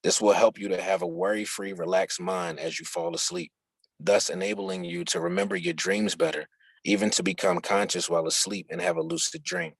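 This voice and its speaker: A man with a husky, gravelly voice reading a written instructional dialogue aloud. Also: low-pitched, lively intonation, brisk, no echo. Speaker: reader of a book chapter.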